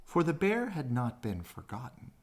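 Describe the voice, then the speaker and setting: A man reading a children's story aloud as expressive bedtime narration, with dramatic, drawn-out phrasing. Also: mid-range voice.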